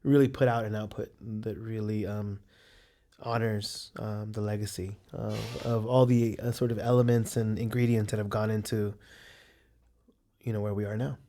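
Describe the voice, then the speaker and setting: A man who grew up in San Francisco's Mission District, an artist answering interview questions in an earnest, halting way. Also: on the low side, flat delivery, mellow. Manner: earnest, hesitant, many fillers and restarts.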